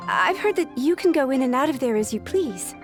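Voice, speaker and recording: Young secretary, earnest, anxious, courteous, high voice, game dialogue over music. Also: female voice, big pitch swings, fairly quick. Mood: worried. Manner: polite, earnest. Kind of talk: video game voice acting.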